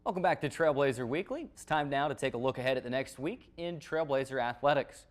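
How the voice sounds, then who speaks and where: A man's voice: mid-range voice, lively intonation, quick pace. American man hosting a sports show. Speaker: sports show host and play-by-play announcer. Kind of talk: presenting a college sports recap show.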